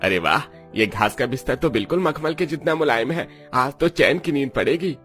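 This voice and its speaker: A man's voice: mid-range voice, lively intonation, moderate pace, a bit bright, soft background music, lightly acted. A man playing a poor, weary labourer husband from a village in a cartoon story, given to grumbling. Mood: pleased.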